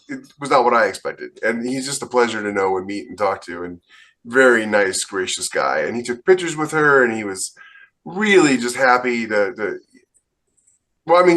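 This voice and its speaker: A man's voice: mid-range voice, a bit bright. A man co-hosting a podcast, chatting casually in an earnest, emphatic conversational way. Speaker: podcast co-host and author.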